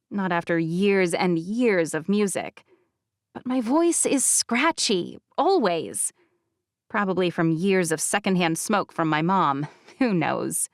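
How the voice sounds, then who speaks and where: A woman narrating a first-person novel as an audiobook, with expressive, wry delivery. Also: mid-range voice, dry recording, studio quality.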